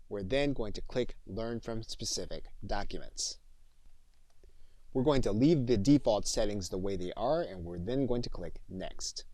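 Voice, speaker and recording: A man with a fairly low voice narrates a training tutorial. He speaks as an instructor, methodically guiding the listener through each step. The sound is very dry, with no room around the voice. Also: a little mellow, no room sound.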